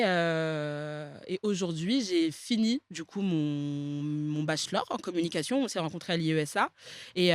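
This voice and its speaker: A woman's voice: fairly low pitch, dry recording. Young french-speaking woman guest, chatty, laughs a lot, dry close mic. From France. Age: 20s. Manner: relaxed, many fillers, laughs easily. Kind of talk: casual podcast chat.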